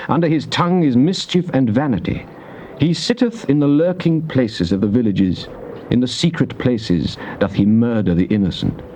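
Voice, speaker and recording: A British actor playing a fervent psalmist in a dramatized King James Bible reading, declaiming solemnly and deliberately. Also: on the low side, a little mellow, natural voice. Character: psalmist, fervent man praying and praising God. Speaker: scripture reader. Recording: dull, noisy cassette tape transfer.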